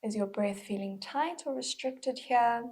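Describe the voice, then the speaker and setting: A woman with a fairly high, husky voice that often drops into creak. She guides a mindful body scan gently, leaving unhurried pauses between short, breathy phrases. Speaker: mindful movement instructor.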